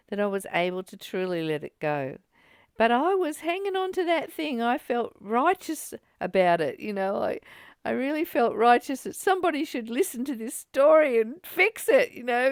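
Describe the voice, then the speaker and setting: A woman's voice: fairly high pitch, dry recording, clean studio sound. A woman co-hosting a spiritual study group, talking in a casual, reflective, conversational way.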